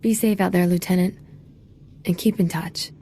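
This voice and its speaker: An actress plays an impulsive, confident woman soldier in a game cutscene. Her voice sits on the low side and is soft-edged and warm, with teasing playfulness. Faint ambience runs underneath. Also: steady tone, normal speed, a little hiss or hum, lightly acted. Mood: flirty, teasing. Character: flirtatious, cocky, impulsive female undercover army sergeant. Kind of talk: video game cutscene acting.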